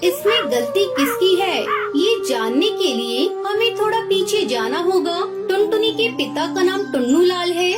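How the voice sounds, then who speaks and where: A woman's voice: extremely high pitch, animated, measured pace, few pauses, loud music behind the voice. A woman reading scripted storybook narration for a cartoon as the narrator.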